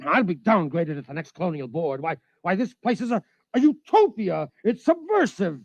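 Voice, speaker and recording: An actor playing a fussy, indignant imperial inspector, pompous and sputtering, in an old-time radio drama. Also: male voice, sits high, big pitch swings, moderate pace, a little mellow, no room sound, full character voice. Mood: indignant, exasperated. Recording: dull lo-fi old radio recording.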